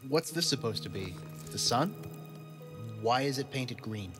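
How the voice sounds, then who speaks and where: A man plays a skeptical adventuring companion in an audio drama. His mid-pitched voice is wry and questioning, ringing with long reverb over faint music. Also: animated, measured pace, lightly acted.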